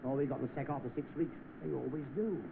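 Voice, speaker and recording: A man's voice: mid-range voice, fast, vocal fry, phone-quality sound, natural voice. A knowing, cynical British working man, played by a man in old film style. He chats bluntly from a garage role, in thin, noisy sound.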